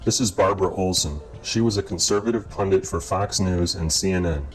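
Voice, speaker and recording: A man reading scripted documentary narration in a measured, formal way, as the narrator. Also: deep voice, rough recording. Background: guitar music.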